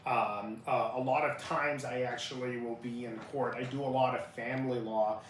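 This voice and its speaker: Male interview guest, thirties, native Arabic speaker raised in Utah, mid-pitched, echoey room, set back from the mic. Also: fairly even intonation, normal speed. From born in Iraq, grew up in Utah. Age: 30s. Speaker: interview guest, family lawyer. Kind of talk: conversational life-story interview. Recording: roomy, distant mic with faint noise.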